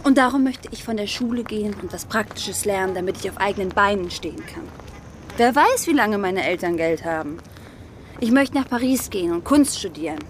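A woman's voice: mid-range voice, expressive, brisk, a little hiss or hum, close to an everyday voice. An actress playing an earnest, outspoken schoolgirl from a well-off family in a German radio drama, speaking formally and emphatically. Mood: earnest, confiding. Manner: formal address, probing, emphatic.

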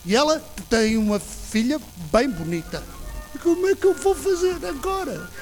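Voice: sits high, big pitch swings, normal speed, a little mellow, soft background music, rough recording. A young actor playing a stammering, flustered official who lost his nose. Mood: anxious. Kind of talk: student radio drama.

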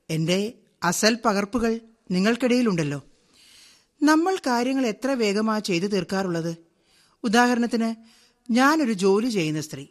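A brisk, ironic middle-aged wife and mother from Kerala, played by an actress in a radio play, speaking straight to the listener. Her voice sits at a middle pitch, and soft music plays faintly behind her. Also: lightly acted. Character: chatty, wry Malayali wife and mother, outspoken feminist. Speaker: monologue narrator. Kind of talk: radio drama monologue.

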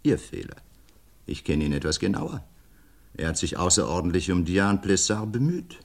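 An actor playing a sly, inquisitive middle-aged doctor in a radio crime drama, suave, ironic and probing. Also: male voice, low-pitched, big pitch swings, moderate pace, full character voice. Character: sly, needling, inquisitive doctor who plays sleuth.

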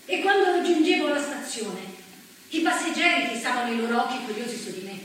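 A woman's voice: fairly high pitch, expressive, brisk, a bit bright. An actress playing a proud, steadfast antifascist socialist woman in a solemn, declamatory stage monologue. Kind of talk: theatrical monologue, declaimed first-person testimony. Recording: echoey, distant stage recording, faint noise.

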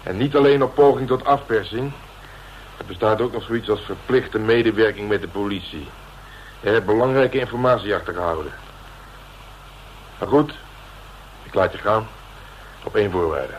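An actor playing a gruff, streetwise veteran police detective, blunt and domineering in colloquial radio drama dialogue. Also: male voice, fairly low pitch, normal speed, pauses often, close to an everyday voice. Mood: bargaining, stern. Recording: dull, noisy old radio recording.

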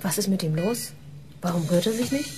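A woman's voice: mid-range voice, brisk, noisy background, lightly acted. An actress playing a determined, accusing woman, a detective's ally, in a radio drama.

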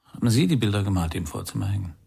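A man's voice: fairly low pitch, expressive, very fast, gravelly, warm tone, husky, no echo, exaggerated voice. An actor playing a world-weary male detective in a German radio crime drama, speaking in a laconic, pensive way. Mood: probing. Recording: 1991 radio play with music behind.

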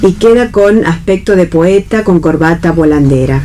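A woman's voice: lowish voice, lively intonation, normal speed, mellow, a little hiss or hum. A woman reading stage directions as narrator of a radio play. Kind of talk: read narration for radio theatre.

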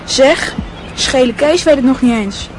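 A woman's voice: sits high, a little slow, slight background noise, low-quality recording. A woman playing a knowing, secretive schoolboy in a radio play. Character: boy, a gossiping schoolboy.

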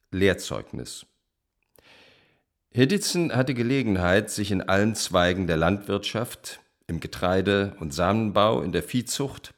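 An actor playing a self-assured estate owner and cavalry officer, formally reading out a certificate in a radio play. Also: male voice, deep voice. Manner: formal, declarative.

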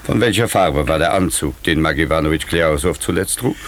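An actor in a radio play voicing an experienced older examining magistrate, gruff and sardonic, with a bossy, condescending way of talking. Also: male voice, extremely low pitch, lively intonation, a little fast. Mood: calm, wry. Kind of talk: 1950s German radio play acting.

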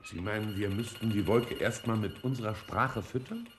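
A man playing a scientist in a 1950s German radio drama, reading messages aloud in a careful, halting way. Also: fairly low pitch, a little hiss or hum, lightly acted. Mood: earnest.

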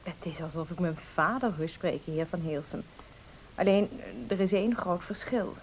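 An actress playing a polite, devout young niece in a radio play. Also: female voice, on the low side, moderate pace, close to an everyday voice. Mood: polite, earnest. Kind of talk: Dutch radio play acting. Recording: hissy old radio recording.

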